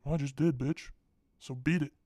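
A man's voice: on the high side, fairly even intonation, normal speed, mellow, no room sound, lightly acted. A man voicing a rude, dismissive man in a short comedy skit. He is curt and insulting.

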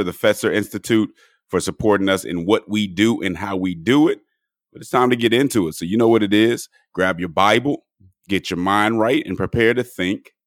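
A man hosting a podcast in relaxed conversational talk, his voice a little hoarse and cracking. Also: lowish voice, dry recording, studio quality. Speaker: podcast host. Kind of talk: casual podcast chat.

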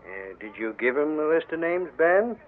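A man's voice: on the high side, sing-song, narrow, tinny audio. A stern older father. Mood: measured. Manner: measured, authoritative. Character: stern older male railroad man, a father. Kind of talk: 1940s radio acting. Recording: thin old radio broadcast.

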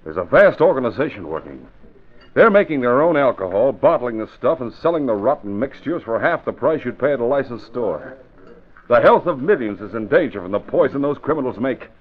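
An actor playing an earnest, worried police commissioner in 1940s radio style, grave and emphatic, on a muffled, tinny old recording. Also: male voice, medium pitch, very animated, a little fast. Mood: grave concern. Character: earnest male police commissioner worried about organized crime.

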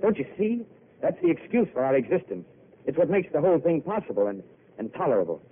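An actor playing a weary, eloquent intellectual who makes theatrical speeches, in old radio drama. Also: male voice, on the high side, sing-song, quick pace. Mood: wistful. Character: weary poetic intellectual man, wistful and eloquent. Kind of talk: 1930s radio drama acting. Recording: tinny old broadcast with hiss.

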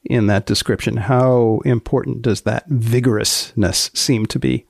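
Gravelly, deadpan male podcast host. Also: medium pitch, a little slow, dry recording, clean studio sound. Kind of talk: deadpan interview with short questions.

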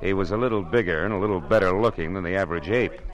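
A man's voice: sits low, racing, highs cut off, close to an everyday voice. An American man acting a wry, punchy hard-boiled private detective in the style of 1940s radio drama. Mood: wry, brooding. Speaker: lead actor and first-person narrator. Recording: vintage radio broadcast.

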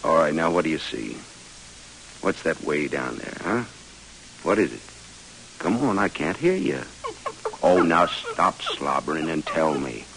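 An actor playing a brooding, affectionate American army major turned explorer in an old radio drama. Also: male voice, very deep voice, very animated, a little slow, creaky, pauses often, heavily acted. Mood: playful, coaxing. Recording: hissy old radio recording.

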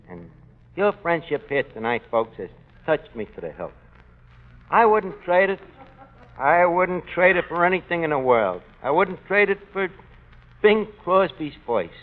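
A man playing a brash, loudmouthed tavern manager in a 1940s radio comedy, quick to blow up. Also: a little high. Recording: tinny old radio broadcast.

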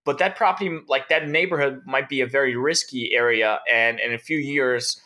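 A man's voice: medium pitch, normal speed, pushed and bright. A man from Brazil, a tech founder and guest in an interview, explaining in a rambling way with lots of "like" and restarts. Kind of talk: casual interview talk.

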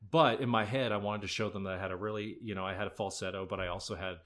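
A man's voice: lowish voice, fairly even intonation, racing, a bit bright. A young adult man, a musical theatre actor, telling audition stories in a laid-back, self-deprecating, chatty way. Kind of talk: casual storytelling interview.

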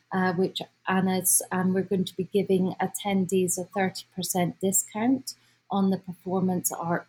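A woman with a Scottish accent informally presenting an event as its organizer, speaking haltingly as she searches for words. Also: mid-range voice, slightly rough, rough recording. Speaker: symposium organizer, guest speaker.

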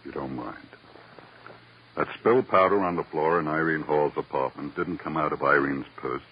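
An actor playing a sly, wheedling police lab technician in an old-time radio crime drama. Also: male voice, very deep voice. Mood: smug. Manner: wheedling, drawn-out teasing. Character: sly, needling male police lab technician, fond of favors. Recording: muffled, noisy vintage radio recording.

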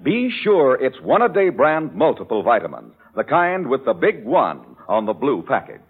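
A man's voice: huge pitch swings, moderate pace, breathy edge. An American man reading an old-time radio advertisement as an earnest, instructive announcer. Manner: earnest, instructive sales pitch. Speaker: commercial announcer. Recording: narrow, phone-like old radio recording.

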